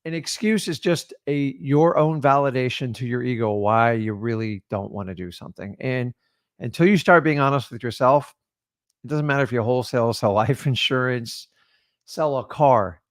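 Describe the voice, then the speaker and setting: This is a man with a mid-pitched voice and a blunt, preachy, no-nonsense delivery. He co-hosts a real estate show. The recording is dry and close, with no room sound. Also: normal speed, no echo. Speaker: real estate wholesaling co-host. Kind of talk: motivational talk to viewers.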